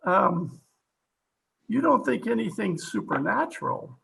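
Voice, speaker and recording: Brief: skeptical police captain, acted by a man, sharp high voice, brusque interrogator, old-time radio mystery style. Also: very animated, measured pace, gravelly, a little hiss or hum, heavily acted. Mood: doubtful, puzzled. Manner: brusque, demanding. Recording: home video-call recording.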